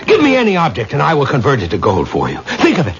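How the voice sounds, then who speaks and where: Obsessed young sorcerer, high-pitched. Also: male voice, huge pitch swings, fast. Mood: urgent pleading. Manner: intense, incantatory, imploring. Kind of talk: 1970s radio drama acting. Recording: dull vintage radio recording with hiss.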